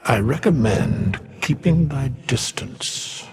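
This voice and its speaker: An actor playing an ancient, solemn undead skeleton in a fantasy game, speaking in an archaic, measured and cryptic way with a gravelly voice. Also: male voice, medium pitch, animated, full character voice. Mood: solemn, detached.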